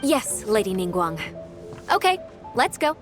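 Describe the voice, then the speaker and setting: A woman voicing a dutiful, efficient secretary in a video game, polite, businesslike and expressive. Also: mid-range voice, a little slow. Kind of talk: scripted video game dialogue. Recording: background music behind voice.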